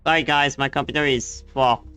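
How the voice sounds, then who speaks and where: Bright, clear-voiced man streaming a game. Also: mid-range voice, steady tone, moderate pace, no room sound, faint music behind. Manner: casual swearing, muttering exasperation. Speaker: livestreamer. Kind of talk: casual game stream commentary.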